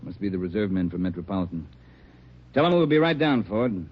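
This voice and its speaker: Muffled old radio recording, deep male voice, rapid American delivery, authoritative police boss, clipped orders. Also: sing-song, slightly rough, exaggerated voice. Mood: commanding, urgent. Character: brisk, commanding male police chief of detectives. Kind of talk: 1950s American radio crime drama acting. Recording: dull vintage radio recording.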